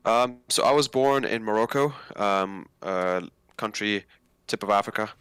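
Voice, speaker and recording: A man's voice: fairly low pitch, a little slow, a bit bright, smooth, no room sound. A man in his twenties from Nigeria, speaking casually as a guest in an interview. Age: 20s.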